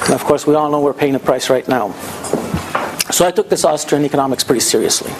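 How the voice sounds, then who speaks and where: A man's voice: a little high, fast talker. A man from Hungary gives an informal keynote talk, telling stories conversationally and posing rhetorical questions. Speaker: keynote speaker. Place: symposium venue, indoors. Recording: noisy live room recording, background chatter.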